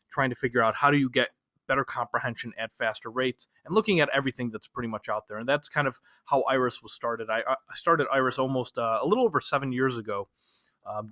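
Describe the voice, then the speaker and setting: A man in his thirties from Chicago, first language Polish, giving a lesson in a relaxed, chatty way, often restarting his sentences. His voice sits at an ordinary middle pitch. The recording is dry and a little dull. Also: no room sound. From Chicago, USA. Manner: conversational, loose, with fillers and restarts. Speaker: speed reading instructor. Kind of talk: lecture to a class.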